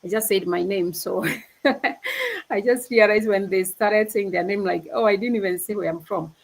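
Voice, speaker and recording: A woman's voice: mid-range voice, big pitch swings, moderate pace, creaky. A young woman from Kenya giving an earnest, casual motivational talk as a panel speaker on a video call. She often restarts her sentences and laughs easily.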